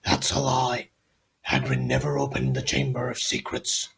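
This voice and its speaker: A man playing an ancient giant spider in an audio drama, grave and menacing, with a gravelly, creaky voice. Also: rumbling low, sing-song, moderate pace, fairly bright tone, a little hiss or hum, exaggerated voice, low-quality recording. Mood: grave, guarded. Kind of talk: fan audio drama acting.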